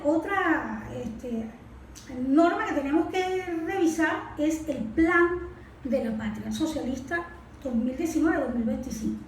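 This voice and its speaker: Female expert guest, Venezuelan accent, high register, explanatory interview delivery, distant echoey sound. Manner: didactic, with rhetorical questions and check words. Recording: echoey room, distant mic, faint noise.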